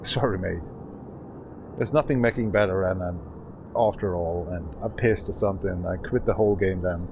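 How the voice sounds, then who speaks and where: A man's voice: low-pitched, moderate pace, creaky, muffled recording, background noise. A man in his thirties streaming a game, rambling and swearing in a frustrated way. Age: 30s. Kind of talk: casual gaming stream chat.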